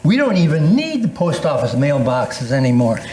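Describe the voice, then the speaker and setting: A man's voice: fairly high pitch, wildly swooping pitch, normal speed, a little mellow. An older man playing a skeptical, wisecracking older friend with a folksy manner in an amateur readers theatre piece. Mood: bemused. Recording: roomy echo with faint noise.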